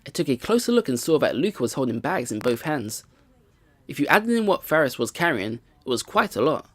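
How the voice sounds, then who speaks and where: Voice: mid-range voice, big pitch swings, brisk. A let's play host reading a visual novel's first-person narration aloud, with game music behind.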